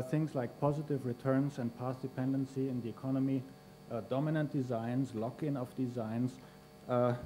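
A man's voice: mid-range voice, dark tone, slight background noise. A man, introducing a speaker at a lectern in a university hall, with many fillers. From Austria. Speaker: conference host introducing a speaker. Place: large university lecture hall. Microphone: lectern microphone.

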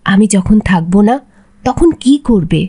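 A woman playing an ailing, devout mother with a weak, husky voice in a cartoon. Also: mid-range voice, expressive, unhurried, mellow. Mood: weak, resigned. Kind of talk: Islamic cartoon dub acting.

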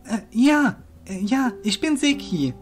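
Man putting on a high-pitched, earnest young lad. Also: big pitch swings, takes it slowly, soft background music, full character voice. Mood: flustered, defiant. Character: earnest, naive young male shadow-clad illusionist. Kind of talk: amateur fantasy audio drama acting.

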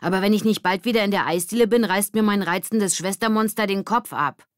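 A woman voicing a brisk, bossy schoolgirl with a hurried, sharp-tongued manner in a children's audiobook. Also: mid-range voice, no echo, clean studio sound, pitched above the performer's own voice, natural voice.

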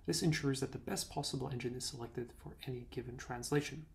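A young man, a native Czech speaker, giving a clear step-by-step software demo on a video call. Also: mid-range voice, steady tone, quick pace. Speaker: product demo presenter.

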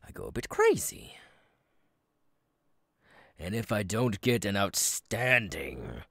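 A menacing, unhinged clown monster for horror audio roleplay. The voice is gravelly and extremely slow, swinging wildly from low rasping whispers up to shrill cackles. It sounds theatrical and gleeful in its threat. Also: male voice, sing-song, fairly bright tone, no room sound, studio quality, heavily acted. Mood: unhinged, simmering. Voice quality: raspy, gravelly, breathy whispers. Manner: drawn-out, lurching between whisper and cackle.

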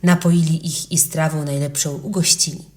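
A woman's voice: low voice, steady tone, normal speed, darkish tone. A woman reading a legend for children as a scripted storybook narrator.

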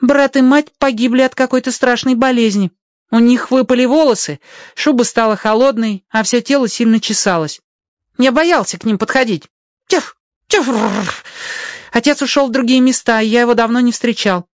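Performer voicing a high-pitched, yapping, defiant young fox. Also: normal speed, dry recording, close to an everyday voice. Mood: sad, then proud. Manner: punctuates speech with sharp yelps.

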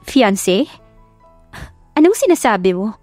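A woman's voice: mid-range voice, sing-song, a little slow, a little mellow, no room sound, faint music behind. A woman voicing a fierce, heartbroken young working-class woman in an audio drama, insistent and confrontational. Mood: confused. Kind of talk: audio drama storybook acting.

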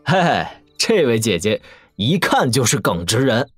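A man's voice: mid-range voice, sing-song, a little slow, slightly rough, faint music behind. A man playing a playful young prince in an audio drama, casually commanding and full of mock-polite banter. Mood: teasing.

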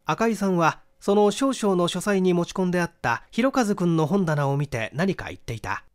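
A man's voice: sits high, animated, moderate pace, smooth. A man reading a mystery novel aloud as scripted literary narration. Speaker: audiobook reader.

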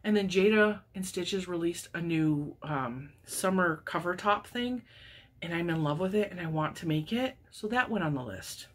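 Woman, 40s, lowish voice, wheezy, congested, chatty crochet host, laughs a lot. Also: moderate pace. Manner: chatty, laughs often, animated hand gestures. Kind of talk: casual chat to camera. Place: home craft room lined with yarn shelves.

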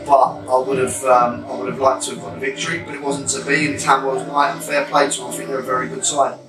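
A man in his thirties with a London accent talks through a match in a small changing room. He speaks in a flat, even tone. The voice is heard from some way off the mic and rings around the small room. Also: mid-range voice, echoey room, far from the mic, over music, rough recording. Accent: London English. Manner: measured, reflective, many fillers and restarts. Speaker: football manager. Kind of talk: post-match interview. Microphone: camera mic at a few metres.

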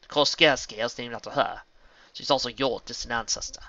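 A man voicing a loud, imperious female pony princess who speaks in formal, archaic royal English in a storybook reading. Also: medium pitch, a little fast, no echo, lo-fi sound, close to an everyday voice. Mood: solemn.

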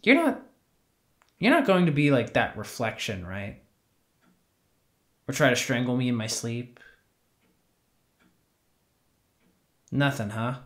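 A sheepish young retail worker in a fiction podcast, casual and wry, kinda halting, mid-pitched male voice. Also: big pitch swings, fairly bright tone. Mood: nervous, wry. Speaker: voice actor in an audio drama. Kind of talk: naturalistic scripted audio drama dialogue.

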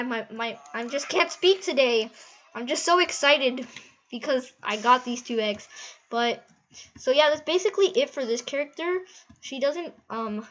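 A woman's voice: on the high side, fairly bright tone, lo-fi sound. A woman giving casual, rambling, easily amazed gameplay commentary and giggling as she goes. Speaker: gaming video host.

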